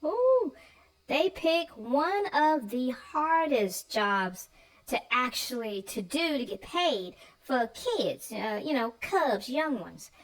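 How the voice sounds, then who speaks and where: A woman's voice: sits high, big pitch swings, slow, low-quality recording. A woman co-hosting a casual, informal discussion of a children's story, speaking her opinions freely. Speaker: read-aloud show co-host.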